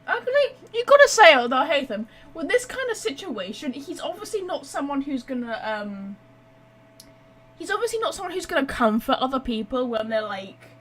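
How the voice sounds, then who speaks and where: A woman's voice: high-pitched, sing-song, moderate pace, a bit bright, rough recording. A woman giving chatty, informal live gameplay commentary, thinking aloud as she goes. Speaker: game streamer.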